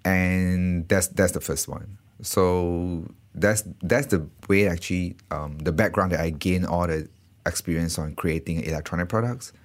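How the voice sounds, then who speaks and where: A man from Malaysia, a startup founder, answering interview questions in a halting way, repeating words. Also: sits low.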